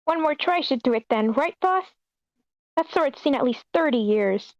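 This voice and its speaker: Woman playing a high-voiced, eager henchman sidekick. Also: expressive, normal speed, no room sound, lo-fi sound. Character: eager, simple-minded henchman sidekick, deferring to boss. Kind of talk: amateur script table read.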